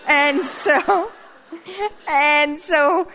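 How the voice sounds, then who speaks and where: Woman, 70s, guest speaker at a women's church event; higher voice, witty, conversational, hall reverb. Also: extremely slow, pushed and bright. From Sri Lanka. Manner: playful, conversational, rising emphatic asides.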